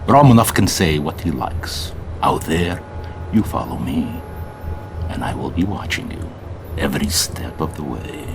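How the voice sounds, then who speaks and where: A threatening Soviet commander in a game cutscene. The voice is bottomless and gravelly, with a contemptuous air, against clear background rumble. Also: male voice, very animated, measured pace, heavily acted. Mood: mocking, threatening. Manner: contemptuous, menacing. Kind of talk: video game cutscene acting.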